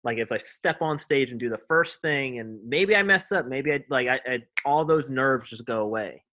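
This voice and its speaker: A man's voice: medium pitch, lively intonation, normal speed, sharp tone, no echo. Easygoing male podcast host, dry sound. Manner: easygoing, encouraging, lots of yeahs. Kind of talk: casual interview.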